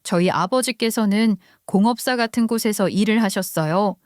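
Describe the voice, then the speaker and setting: Woman narrator, mid-pitch, even scripted reading, dry close mic. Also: moderate pace, no echo. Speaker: story narrator. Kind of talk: scripted first-person story narration.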